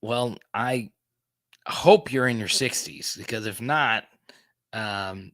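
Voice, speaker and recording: A man's voice: lowish voice, slow pace, a bit bright, no room sound. A man in his thirties hosting a sports podcast livestream, chatting casually as he reads viewer comments, laughing often and tripping over restarts. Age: 30s.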